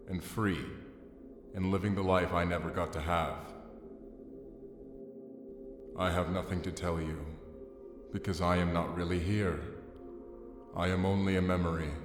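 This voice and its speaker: A man playing a solemn dead man lingering as a haunting memory in an audio drama, speaking deliberately and repetitively in a cavernous echo. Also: deep voice. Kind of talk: cinematic audio drama monologue. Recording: echoey with long cavernous reverb.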